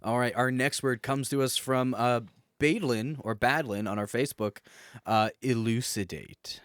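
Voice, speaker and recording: Male podcast co-host: mid-pitched, casual, jokey and rambling, very dry sound. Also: measured pace, a bit bright, clear, resonant tone, no echo.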